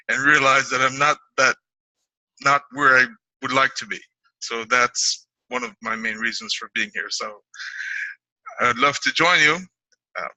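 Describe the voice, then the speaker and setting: A man's voice: medium pitch, bright, forceful tone, no echo, rough recording. A man giving a short earnest speech over a video call, restarting some phrases. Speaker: prospective member.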